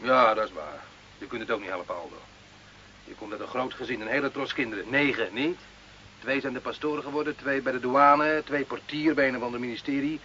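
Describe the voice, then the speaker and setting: Cheeky working-class smuggler male actor, mocking, forceful tone, radio drama, muffled hissy old recording. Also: mid-range voice, fairly quick, close to an everyday voice. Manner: mouthy, defiant, mocking. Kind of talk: radio play acting.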